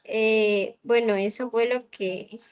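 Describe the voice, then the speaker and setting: A young Colombian woman student answering on a video call, halting with frequent fillers and restarts. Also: fairly high pitch, fairly even intonation, highs cut off. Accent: Colombian Spanish. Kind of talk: hesitant class answer.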